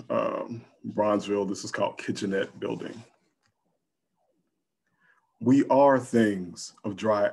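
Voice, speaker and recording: A middle-aged man speaking and reading poetry unhurriedly, pausing between phrases, on a video call from home. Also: on the low side, darkish tone. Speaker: poet. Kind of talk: casual introduction and poetry reading. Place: room at home. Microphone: computer mic on a video call.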